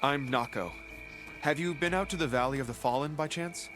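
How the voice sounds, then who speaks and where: An actor playing a worried, exasperated warrior grumbling about a reckless brother, in a video game cutscene. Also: male voice, a little high, brisk, over music, close to an everyday voice.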